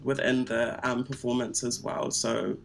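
A woman's voice: rumbling low, steady tone, a little slow, gravelly, faint music behind. A woman whose native tongue is Twi, answering casually as an interview guest, reflective and searching for words. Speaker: interview guest, performance artist.

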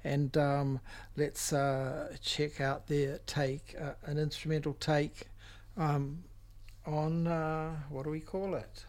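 Kiwi guy radio dj, slow and hesitant, rambling between tracks, slightly high voice. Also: flat delivery, a little mellow. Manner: halting, hesitant, searching for words. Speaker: radio music show host. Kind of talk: unscripted music show commentary between tracks. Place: radio studio.

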